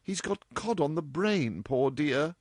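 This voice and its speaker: A man's voice: fairly high pitch, animated, a little slow, no echo, clean studio sound, voice pushed up. A man reading aloud and voicing an impudent, teasing young woman who talks flippantly to her mother. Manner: playful, cheeky, flippant.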